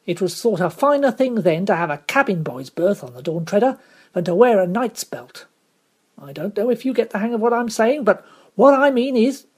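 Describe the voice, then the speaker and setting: A rough, plain-spoken sailor in a storybook, as the reader puts him on, voice lifted above the narration and a little husky. He rambles earnestly, searching for his words. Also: medium pitch, voice pushed up.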